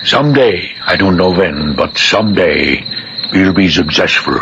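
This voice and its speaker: A man playing a determined, idealistic inventor in a 1950s radio drama, measured and grave. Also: deep voice, lively intonation. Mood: resolute. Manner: measured, earnest. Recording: noisy, muffled old radio recording.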